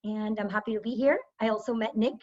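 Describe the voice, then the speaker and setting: A woman's voice: medium pitch, fairly even intonation, fast talker, a little mellow, dull audio. A woman from Panama, a former teacher, introducing herself casually on a video call with frequent ums. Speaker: workshop guest, former teacher.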